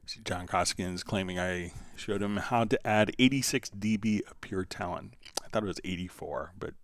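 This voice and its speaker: A man hosting a live software tutorial in an informal, conversational way, thinking aloud as he demonstrates. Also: sits low, normal speed. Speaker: livestream host and software instructor.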